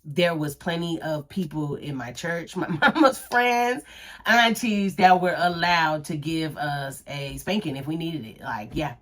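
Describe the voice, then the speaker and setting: A woman in her thirties talks straight to her audience in a casual monologue. Her voice sits low, and she leans hard on her points, sometimes sounding preachy. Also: unhurried. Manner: animated and emphatic, preachy. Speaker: commentary vlogger.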